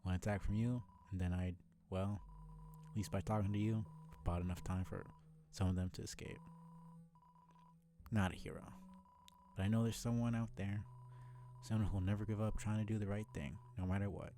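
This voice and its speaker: A man's voice: fairly low pitch, steady tone, normal speed, noisy background, close to an everyday voice. A man voicing a weary, defiant old monster shopkeeper in a video game, with a wry, scornful manner. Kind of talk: video game character read-aloud.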